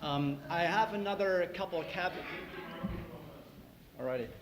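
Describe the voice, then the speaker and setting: German guy giving a law talk, a bit high voice, big echoey hall, faint hiss. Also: normal speed. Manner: self-deprecating, joking asides, many fillers. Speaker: panel speaker, law lecturer. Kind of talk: public lecture talk. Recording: reverberant hall, faint noise.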